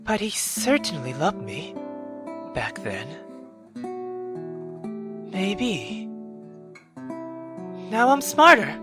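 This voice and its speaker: Man putting on a cold, high-voiced, domineering mother. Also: wildly swooping pitch, music in the background, exaggerated voice. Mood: cold, bitter. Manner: intense, domineering, deliberate. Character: cold, bitter, menacing mother lecturing her daughter.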